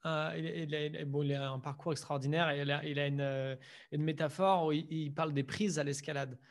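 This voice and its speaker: A man's voice: fairly high pitch, fairly even intonation, fairly quick. A man hosting a podcast who chats casually, with many filler words and agreeing noises. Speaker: podcast host.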